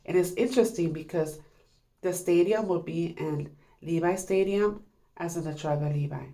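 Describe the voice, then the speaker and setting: A woman's voice: fairly low pitch, normal speed, warm tone. A woman with a stuffy, congested voice from a cold, talking urgently and repetitively to her viewers. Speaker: online commentator. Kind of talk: casual monologue to viewers.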